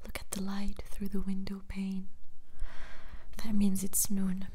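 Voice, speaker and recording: A woman speaking in a hushed, breathy whisper in an intimate, measured ASMR style, as if reading poetry. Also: mid-range voice, monotone, a little hiss or hum. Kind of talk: soft-spoken ASMR poetry reading and chat.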